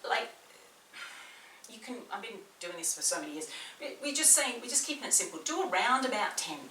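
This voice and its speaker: A woman's voice: medium pitch, very animated, a little fast, pushed and bright, slight background noise. Chatty trainer woman, slight Kiwi accent. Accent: slight New Zealand. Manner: chatty, encouraging, talks a lot. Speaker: personal trainer. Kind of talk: guided workout instruction. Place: small indoor room. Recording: roomy echo, distant mic, faint ambience.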